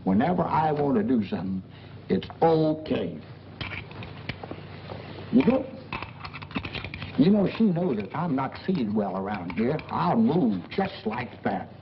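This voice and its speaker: A cocky boarding-house schemer from a 1940s film comedy. His pitch leaps around as he brags and wheedles in folksy, rough grammar. The old soundtrack is muffled, with a faint layer of noise. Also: very animated, slow pace, pauses often. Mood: boastful, cocky. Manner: folksy, ungrammatical, blustering bragging. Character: boastful, wheedling boarding-house man, middle-aged, small-time schemer.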